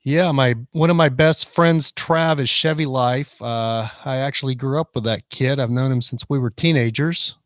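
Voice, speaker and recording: A man in his fifties talks easily through a live stream, reading out viewer comments as they come in. The sound is close and dry, with a middle-of-the-road pitch. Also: normal speed, hardly any pauses, no echo. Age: 50s. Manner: chatty, reads out viewer comments. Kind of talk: casual live-stream chat. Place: van in the rain.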